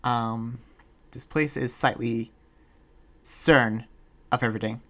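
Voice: medium pitch, steady tone, slow, fairly bright tone. A performer improvising a polite, awkward young villager in a Minecraft roleplay, talking in a hesitant, rambling way. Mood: weary, casual.

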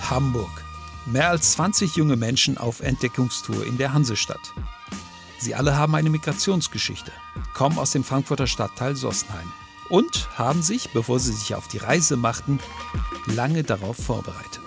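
A man reading a scripted documentary narration in a calm, measured way. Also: mid-range voice, creaky. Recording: music bed behind voice-over.

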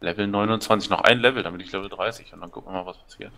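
A man's voice: deep voice, racing, sharp tone. A man chatting casually while playing a co-op survival game, rambling through explanations of game mechanics. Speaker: gameplay co-commentator. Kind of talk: casual co-op gameplay chat.

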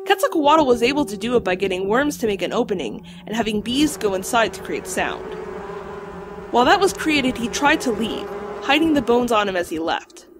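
A woman voicing a learned talking dog in an audio drama, lecturing precisely and calmly on lore. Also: a little high, moderate pace, noisy background. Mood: lecturing, composed. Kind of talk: audio drama acting, explanatory storytelling.

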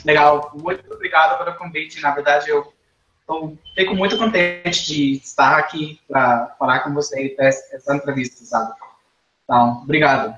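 A young man with a Costa Rican accent talks through his story in halting learner Portuguese, restarting words and tagging on "sabe?". His voice is bright and sits slightly high. He sits in a small living room, picked up by a webcam mic with a lot of room echo. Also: fairly even intonation, lo-fi sound, soft background music. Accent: Costa Rican Spanish. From Costa Rica. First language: Spanish. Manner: hesitant learner, repeats words, says "sabe?" often. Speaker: guest. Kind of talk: casual interview answers. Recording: echoey room.

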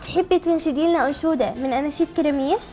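A woman's voice: high voice, rapid-fire, mellow, faint music behind. A woman hosting a chatty live TV call-in, asking simple, encouraging questions. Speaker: TV show host.

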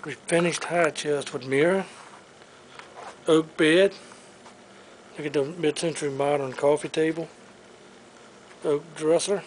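Male auctioneer for a sale preview, slightly high voice, unhurried short item call-outs, handheld camera sound. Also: fairly even intonation. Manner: clipped, listing items one by one. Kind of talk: walk-through item commentary in short phrases. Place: large indoor auction showroom full of furniture. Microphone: handheld camera microphone. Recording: noisy handheld camera in a showroom.

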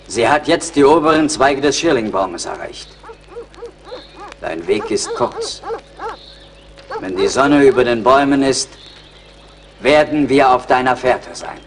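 An actor playing a grave, proud warrior in a radio play, speaking in solemn declarations. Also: male voice, mid-range voice, expressive, unhurried, long pauses, distant mic. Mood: solemn warning. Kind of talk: dramatic radio play acting.

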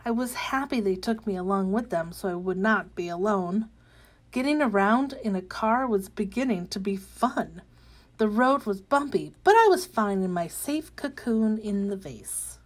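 Storybook caterpillar played by a woman: young, cheerful, full of wonder, slightly high pitch, slow gentle delivery. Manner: gentle, expressive, with excited exclamations. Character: cheerful, wide-eyed young monarch caterpillar turned butterfly. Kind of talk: animated read-aloud of children's picture book.

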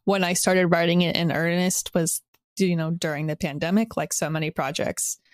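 A woman's voice: on the low side, steady tone, moderate pace, no room sound, clean studio sound. A woman speaking as a podcast guest in casual interview conversation, reflective and rambling, with a self-deprecating way of talking. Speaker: podcast guest, audio drama creator.

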